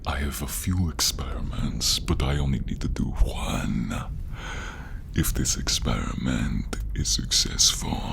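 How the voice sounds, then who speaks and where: An imperious, blood-hungry inquisitor who is not human. The voice is extremely low and gravelly, drawing words out very slowly. He savors and condescends in fantasy role-play style, over faint background ambience. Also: full character voice. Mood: imperious, menacing. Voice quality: very deep, gravelly, breathy. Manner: slow, savoring, commanding, condescending. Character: menacing, blood-tasting inhuman grand inquisitor, male, hungry and imperious.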